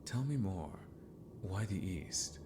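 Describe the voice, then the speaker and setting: A man playing a gentle, possibly mad villain mentor in a fantasy ASMR roleplay, speaking formally and theatrically, with scheming courtesy. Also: expressive, background noise. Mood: probing, curious. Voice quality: deep, gravelly. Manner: slow, formal, theatrical, sometimes muttering to himself.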